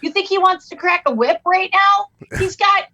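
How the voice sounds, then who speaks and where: A middle-aged woman comedian talks in a bright, high voice. Her delivery is emphatic and punchy, and she leans hard on each line. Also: moderate pace, no echo, highs cut off. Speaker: guest comedian. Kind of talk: casual chat.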